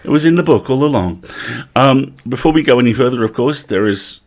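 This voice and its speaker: A man's voice: medium pitch, animated, fairly quick. A British man hosting a livestream in a casual, chatty way, with wry, playful asides. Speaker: livestream host.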